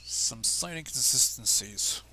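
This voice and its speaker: Bright-voiced man reading fan fiction, lively intonation. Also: medium pitch, measured pace, slight background noise. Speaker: fan fiction reader on a livestream. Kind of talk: story reading with asides.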